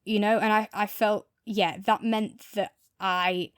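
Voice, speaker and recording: Woman podcast host just chatting away casually, mid pitch, goes off on asides, really dry recording. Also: fairly even intonation, measured pace, smooth, no echo. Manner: chatty, informal, explains at length with asides. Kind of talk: casual chat, audio drama review.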